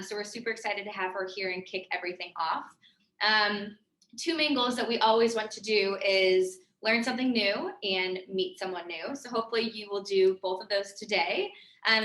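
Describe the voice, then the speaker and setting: A woman hosts the session and introduces the speaker in an upbeat, chatty way, with frequent fillers. Her voice is bright and crisp. She is recorded in a roomy, echoing classroom. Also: medium pitch. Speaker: event host introducing the speaker. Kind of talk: casual introduction. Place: classroom in a coworking space. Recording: echoey room.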